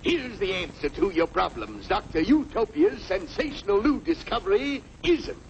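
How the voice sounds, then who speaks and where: An actor voicing a boisterous, oily cartoon huckster with grandiose barker patter, in an old 1940s style. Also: male voice, sits high, big pitch swings, moderate pace, dull audio, slight background noise. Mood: hard-sell excitement. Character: oily, boisterous huckster peddling a miracle tonic.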